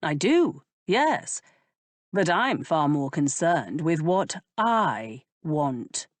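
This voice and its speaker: Sly aristocratic gentleman, slow amused drawl, period storybook, read by a woman. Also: sits low, big pitch swings, no room sound, full character voice. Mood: teasing. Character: teasing aristocratic male earl, languid and sly.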